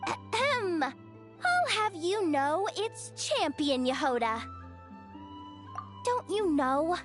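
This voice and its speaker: A woman's voice: very high-pitched, big pitch swings, drawn-out words, a bit bright, soft background music, exaggerated voice. A woman voicing a boastful, childlike champion in a video game, pompous and blustering yet insecure.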